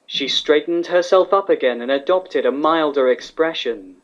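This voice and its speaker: Casting: male story narrator, slightly high voice, lo-fi sound. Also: moderate pace. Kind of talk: scripted story narration of fan fiction. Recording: slightly dull, lo-fi recording.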